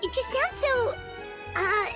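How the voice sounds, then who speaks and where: A woman voicing a tiny, excitable fairy companion in a video game, squeaky and childlike. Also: takes it slowly, full character voice. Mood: curious, impressed. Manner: squeaky, childlike, exclaiming, third-person self-reference. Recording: loud music behind the voice.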